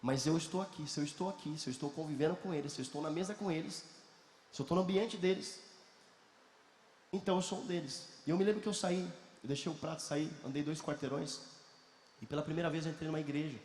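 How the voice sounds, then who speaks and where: Man, 30s, earnest guest speaker with a slightly high voice who talks fast and repeats himself for emphasis, picked up from a distance. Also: fairly even intonation, darkish tone, a little hiss or hum. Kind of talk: personal testimony to an audience. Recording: echoey hall, mic from afar.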